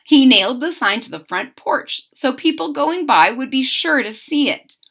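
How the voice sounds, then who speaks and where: A woman reading a picture book aloud to children, expressive and breaking off for chatty asides to her listeners. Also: high-pitched, moderate pace. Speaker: story reader.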